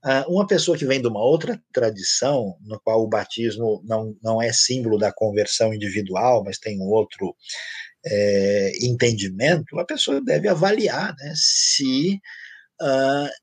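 A man's voice: mid-range voice, a little slow, breathy edge, dry recording. A man with a Brazilian Portuguese accent, a pastor answering questions in a church teaching session, explaining conversationally with anecdotes.

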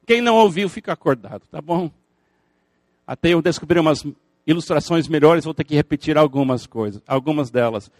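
A man's voice: on the high side, brisk, darkish tone, no room sound, clean studio sound. An older male pastor, a native English speaker, preaching a sermon in earnest with emphatic repetition and playful asides to the congregation.

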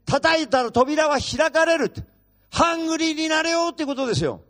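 Very high-voiced brash preacher man. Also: expressive, fairly bright tone. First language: Japanese. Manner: blunt, brash and joking, switching fast between languages. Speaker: guest pastor. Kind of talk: bilingual sermon. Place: church.

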